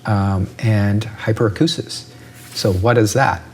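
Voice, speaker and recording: A man's voice: fairly low pitch, steady tone, a little slow, a little hiss or hum. A man, an ear doctor, explaining with analogies in an interview and often checking in with "right?".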